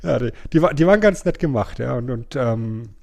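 A man's voice: mid-range voice, animated, fairly quick, gravelly, darkish tone. A middle-aged man, co-hosting a podcast in an informal, explanatory way. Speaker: podcast co-host. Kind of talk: casual podcast chat.

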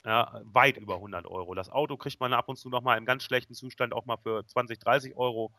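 A man's voice: mid-range voice, fairly even intonation, brisk, bright, forceful tone, no echo, clean studio sound. A man hosting a casual podcast chat, talking conversationally and often checking for agreement. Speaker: podcast host.

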